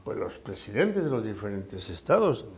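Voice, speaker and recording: A man's voice: on the low side, sing-song, normal speed. An older man, a former professor, talking things over thoughtfully with many hesitations. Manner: thoughtful, with frequent fillers and hesitations. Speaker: retired professor. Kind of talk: conversational discussion.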